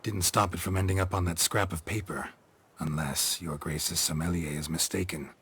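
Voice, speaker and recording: An actor playing a stoic monster hunter in a game, with a gruff husky voice and terse, deadpan delivery. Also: male voice, moderate pace, heavily acted. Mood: dry, skeptical. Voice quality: gravelly, husky low voice.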